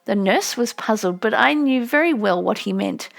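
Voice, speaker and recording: A woman reading a literary memoir as an audiobook in a measured storytelling delivery. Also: on the high side, animated, vocal fry. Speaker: audiobook narrator.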